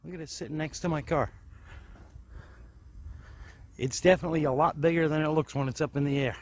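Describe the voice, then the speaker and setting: A man's voice: on the high side, steady tone, a little fast, darkish tone, slight background noise. A man giving a plain-spoken, casual step-by-step narration of a hands-on DIY project as he films it. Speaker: DIY hobbyist video host.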